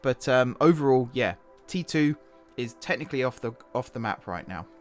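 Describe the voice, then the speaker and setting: A man, slightly hoarse, narrating his own gameplay in a rambling, self-correcting, casual voice-over. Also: mid-range voice, animated, moderate pace, soft background music. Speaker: gaming channel host. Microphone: desktop voice-over mic.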